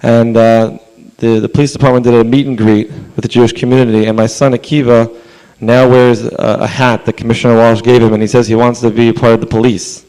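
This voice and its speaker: Hoarse rabbi at a council podium. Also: male voice, mid-range voice, level tone, brisk, few pauses, warm tone, low-quality recording. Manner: earnest, conversational, with fillers. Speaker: rabbi speaking at public comment. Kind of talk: public comment speech. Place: council chamber.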